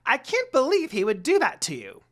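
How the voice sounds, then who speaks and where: A narrator voicing a small, indignant male demon servant who complains loudly in a fan fiction reading. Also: high-pitched, wildly swooping pitch, moderate pace, voice pushed up, exaggerated voice. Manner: loud, exclaiming, complaining.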